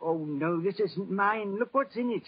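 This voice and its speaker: Raspy, animated English lord. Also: male voice, high voice, a little slow, full character voice. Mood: startled. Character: anxious, eager English nobleman. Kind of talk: 1940s radio acting. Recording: muffled old radio recording.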